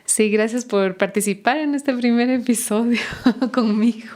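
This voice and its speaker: A woman's voice: fairly high pitch, unhurried, a little mellow. A woman hosting a podcast, reading the intro and then interviewing a guest in a friendly way, laughing often.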